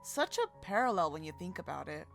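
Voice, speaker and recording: A woman's voice: lowish voice, big pitch swings, brisk, gravelly, a bit bright. A woman co-hosting a video, reading scripted commentary with casual asides over background music. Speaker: video co-host. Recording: music playing behind the voice. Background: music bed.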